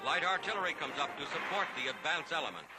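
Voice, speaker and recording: A man's voice: on the high side, big pitch swings, fast talker, loud music behind the voice, low-quality recording. A man with an American accent narrating a scripted 1940s wartime newsreel in a dramatic, declamatory, authoritative manner, on an archival soundtrack.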